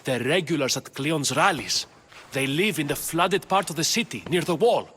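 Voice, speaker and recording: An actor playing an earnest, easily flustered politician of ancient Athens in a video game. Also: male voice, fairly high pitch, normal speed, a bit bright, a little hiss or hum, natural voice. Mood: earnest, worried. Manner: earnest, indignant. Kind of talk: video game dialogue acting.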